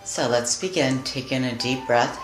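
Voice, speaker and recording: A woman leading a guided meditation in a soothing, deliberate manner, leaving long pauses between phrases. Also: a bass voice. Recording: roomy echo with music behind. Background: music.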